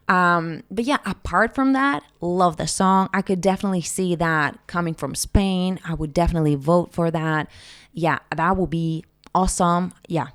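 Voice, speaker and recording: A young woman from Greece talks casually through her song rankings, gushing and repeating words when she loves something. Her voice sits a little low. The sound is dry and close, with no room around it. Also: no room sound. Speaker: vlogger ranking song contest entries. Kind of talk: casual reaction chat.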